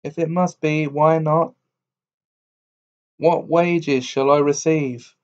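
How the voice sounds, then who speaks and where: A man's voice: fairly high pitch, steady tone, slow. A man voicing a boastful, cheeky tailor in a storybook reading, with a cocky, bragging manner. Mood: meek. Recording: dull lo-fi recording.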